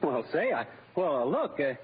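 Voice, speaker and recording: An actor playing a mild, naive, eager husband in a 1940s radio comedy, with a hesitant, stammering, chuckling delivery. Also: male voice, a little high, sing-song, normal speed, husky, heavily acted. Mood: sheepish, eager. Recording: muffled old radio broadcast with studio audience.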